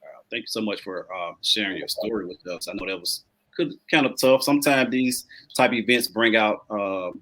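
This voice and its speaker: A man's voice: lowish voice, brisk, bright, forceful tone. A man hosting a talk show and working as a life coach, chatty and affirming as he interviews a guest. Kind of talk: casual interview.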